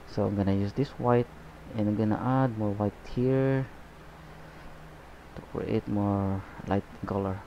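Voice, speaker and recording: A man with a lowish, mellow voice walks viewers through a painting as he works. He talks in a relaxed, unhurried way, often saying "okay" and "guys". The recording sounds a little dull and lo-fi. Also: a little hiss or hum. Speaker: painting instructor. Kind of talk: painting tutorial narration.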